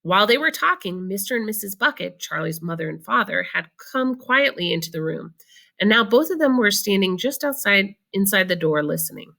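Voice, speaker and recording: A woman reading a children's book aloud in an expressive narrating style. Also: mid-range voice, brisk, vocal fry. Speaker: storybook reader.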